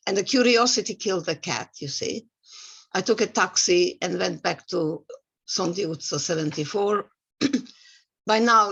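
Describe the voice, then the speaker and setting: Low-voiced elderly Hungarian woman giving testimony. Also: fairly bright tone. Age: 80s. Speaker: Holocaust survivor giving testimony. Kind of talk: personal spoken testimony. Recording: dull, muffled lo-fi sound.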